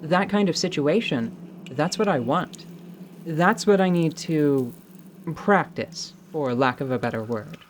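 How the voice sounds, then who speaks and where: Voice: medium pitch, lively intonation, a little slow, slight background noise, lightly acted. An actor playing a blunt, sardonic but idealistic adventurer in a fantasy radio drama, with a wry manner. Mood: wry, determined. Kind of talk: radio-theatre fantasy role-play acting.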